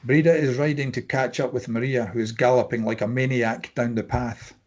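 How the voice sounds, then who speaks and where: A man with a rough-edged, husky voice reads a screenplay aloud as narrator. His pitch is average, and he delivers scene headings and action lines as scripted narration. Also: steady tone, a little fast, darkish tone. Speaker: narrator reading stage directions.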